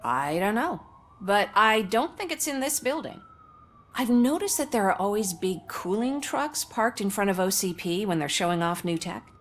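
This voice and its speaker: A woman's voice: medium pitch, animated, moderate pace, a bit bright, a little hiss or hum, natural voice. A woman playing a breezy, cynical commercial actress in a video game, talking in a wry conversational way. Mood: wry, casual. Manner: wry, casual.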